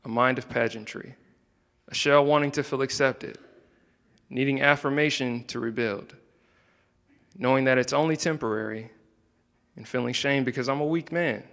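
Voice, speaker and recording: A young man reading his own spoken word poetry into a handheld microphone, soft-spoken and hesitant between poems. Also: mid-range voice, normal speed, creaky, long reverb tail. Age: young adult. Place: indoor room, standing by a wall.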